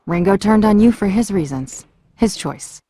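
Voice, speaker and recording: An actress playing a firm, earnest, loyal military pilot in a video game. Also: female voice, mid-range voice, animated, normal speed, mellow, no echo, lightly acted.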